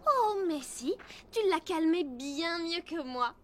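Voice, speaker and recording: Lively, high-voiced girl rider. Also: takes it slowly, a little hiss or hum, heavily acted. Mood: admiring. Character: spirited, friendly girl horse rider. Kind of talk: French cartoon dub acting.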